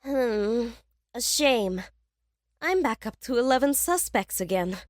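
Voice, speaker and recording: Woman acting animated, condescending young detective. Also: fairly high pitch, takes it slowly, no room sound, clean studio sound. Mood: mildly disappointed. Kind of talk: audio drama acting.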